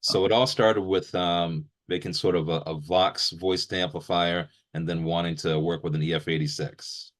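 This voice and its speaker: A man's voice: deep voice, fairly even intonation, normal speed, hardly any pauses. A man hosting an interview in a casual, chatty style. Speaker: interviewer, show host.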